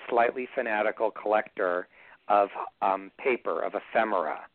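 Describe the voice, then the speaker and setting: A rambling, joking man with a fairly low voice, chatting casually as a guest and telling stories. The sound is thin and phone-like, and it is completely dry. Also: moderate pace, no echo. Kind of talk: casual storytelling chat. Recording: tinny dry phone line.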